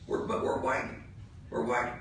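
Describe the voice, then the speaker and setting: A man's voice: moderate pace, fairly bright tone. A county commissioner, a man with a Southern US accent, speaking heatedly at a public meeting in a blunt, folksy, exasperated way and repeating his points for emphasis. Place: indoor public meeting room. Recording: noisy, very echoey meeting room, distant mic.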